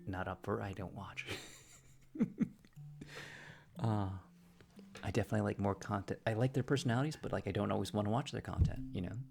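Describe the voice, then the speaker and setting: A man livestreaming casually from a room in a shared house, rambling with frequent restarts and fillers. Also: on the low side. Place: room in a shared house with thin, echoey walls. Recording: clear music playing underneath.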